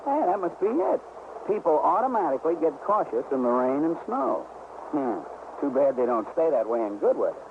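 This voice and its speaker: Earnest American husband, puzzled, swooping pitch, old radio style, muffled sound. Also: male voice, very animated, brisk, background noise. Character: earnest, puzzled husband in a road safety skit. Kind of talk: mid-century radio acting.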